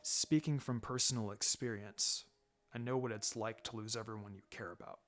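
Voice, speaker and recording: Man voicing a low, quiet, laid-back ninja mentor. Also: steady tone, moderate pace, soft background music, close to an everyday voice. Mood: sombre. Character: laid-back adult male ninja mentor, wry and gently sombre. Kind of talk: fan fiction audiobook character acting.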